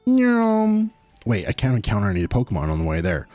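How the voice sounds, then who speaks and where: A young man with a low voice that still swings up and down a lot as he chats with his viewers. He talks in a mumbly, low-key way, dry and close with no room sound, and faint music plays behind him. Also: wildly swooping pitch, fast, a little mellow, no echo. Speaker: streamer. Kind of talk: casual live-stream chat.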